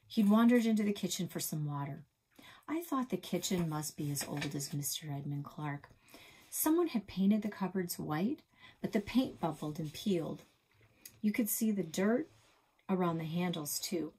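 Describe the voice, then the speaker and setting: A middle-aged woman reads a children's chapter book aloud, calm and steady, slipping now and then into soft, breathy passages. Her voice is husky and sits slightly low. She records on a desk webcam in a small office. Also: moderate pace, low-quality recording. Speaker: librarian reading aloud.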